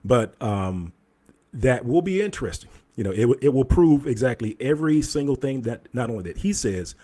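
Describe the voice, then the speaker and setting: A man's voice: fairly low pitch, dark tone, husky. A man hosting a channel, talking casually over video clips and addressing his viewers as family. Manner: loose, informal, addresses viewers as fam. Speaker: commentary host.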